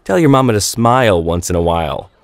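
A man's voice: fairly low pitch, animated, fast talker, vocal fry, no room sound. A man voicing a group of mocking teenage boys who catcall a passing woman, in a raised, taunting voice. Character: jeering teenage boys in a lobby.

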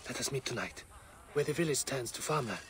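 An actor playing a fearful male market trader who speaks reluctantly and quietly in a video game. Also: medium pitch, expressive, fairly quick, background noise, natural voice. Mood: nervous. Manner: reluctant, hushed.

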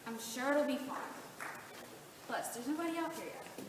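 A woman's voice: sits high, animated, moderate pace. A woman playing a small, feisty, protective friend in a stage drama, scrappy and theatrical. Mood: reassuring. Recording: echoey hall, far from mic, faint noise.

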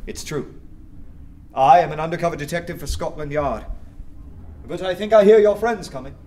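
An actor playing a bold undercover detective in a radio drama, sardonic and animated. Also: male voice, on the high side, moderate pace, a little hiss or hum, natural voice. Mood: earnest, secretive. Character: wry, bold undercover detective posing as a poet. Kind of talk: full-cast radio drama acting.

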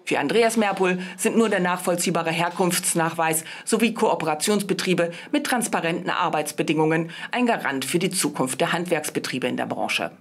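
A woman's voice: on the low side, moderate pace, hardly any pauses, slight background noise. Female news reporter reading voice-over. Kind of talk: scripted narration.

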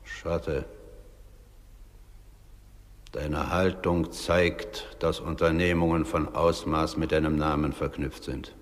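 Very deep, solemn judge of the dead. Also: male voice, level tone. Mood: stern. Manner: measured, grave, declamatory. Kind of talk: German radio play acting. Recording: long reverberant hall.

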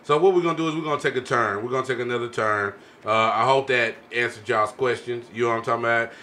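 A man's voice: medium pitch, fast talker, bright, forceful tone. A man hosting a podcast casually, speaking colloquially with plenty of slang. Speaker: podcast host. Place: small home-style podcast studio. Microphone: podcast microphone on boom arm.

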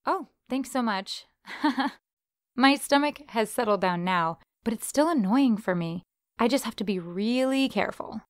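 A woman's voice: fairly high pitch, animated, unhurried, dry recording, clean studio sound. A young woman playing a polite, cautious guest in an amateur audio drama.

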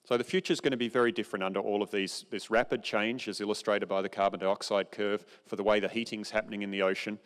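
A man's voice: on the low side, fairly even intonation, quick pace, few pauses, clear, resonant tone. A middle-aged man giving a conference keynote lecture from a podium on a large stage, explaining in an informal, conversational way. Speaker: keynote speaker. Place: large dark auditorium stage. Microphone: podium microphone, far camera. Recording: long hall reverb.

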